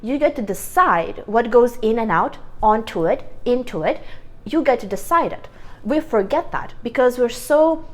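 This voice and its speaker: Woman vlogger chatting about self-love into a clip mic, earnest, kinda rambly, slightly high voice. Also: lively intonation, normal speed. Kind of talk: casual self-help talk to camera. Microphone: clip-on microphone.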